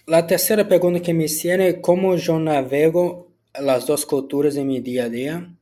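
A man from Brazil, a student whose first language is Portuguese, speaks Spanish with a Brazilian Portuguese accent as he answers set questions for a school assignment, often restarting words and filling pauses with "ah". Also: a little high, warm tone. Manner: halting, with frequent restarts and filler "ah".

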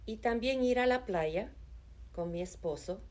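A middle-aged woman from Chicago, answering interview questions calmly and earnestly, with hesitant fillers. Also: a little high, unhurried, slight background noise. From Chicago, United States. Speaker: interviewee, nonprofit administrative assistant.

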